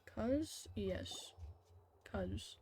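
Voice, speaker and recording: A woman's voice: medium pitch, animated, very slow, darkish tone. A woman game streamer chatting casually on a livestream, talking to herself in short blunt questions and reactions. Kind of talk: casual livestream chat over gameplay. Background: game music.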